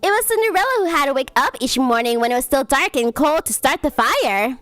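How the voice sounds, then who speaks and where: A woman's voice: high voice, expressive, brisk, a bit bright, no room sound, faint music behind. A woman livestreamer with a cutesy, gushing way of talking, chatting to viewers and reading bedtime stories. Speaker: streamer, host.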